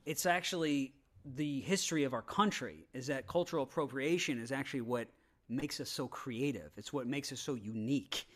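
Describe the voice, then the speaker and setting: A man in casual, opinionated podcast talk, halting and rambling, with frequent swearing. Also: mid-range voice. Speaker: podcast panelist.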